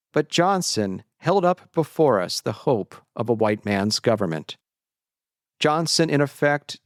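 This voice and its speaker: A man narrating a scripted historical essay in a measured, deliberate way, as a historian would. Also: mid-range voice, no echo, studio quality.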